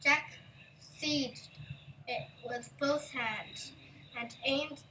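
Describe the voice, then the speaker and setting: A woman's voice: high voice, drawn-out words, sharp tone, distant mic, slight background noise, rough recording. A young female reader narrating a fairy tale aloud from a book. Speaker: storybook reader.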